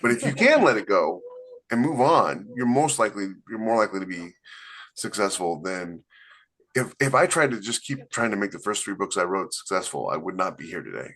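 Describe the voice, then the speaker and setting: A man's voice: low-pitched, big pitch swings, a little fast, fairly bright tone. Blunt, opinionated man co-hosting a podcast. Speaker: podcast co-host, author. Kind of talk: casual chat.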